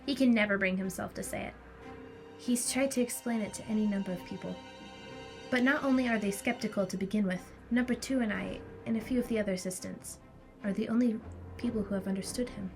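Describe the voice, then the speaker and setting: A woman's voice: mid-range voice, fairly quick, music in the background, natural voice. A woman playing a loyal, plain-spoken assistant who explains things firmly in a family audio drama. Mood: earnest, explaining. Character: loyal, frank female assistant to a genius.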